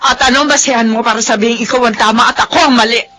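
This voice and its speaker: Stubborn widowed mother for radio drama: fairly high, husky, firm, indignant. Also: steady tone, quick pace, sharp tone, soft background music. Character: stubborn, lovestruck widowed mother defending her choices. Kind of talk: melodramatic radio drama acting.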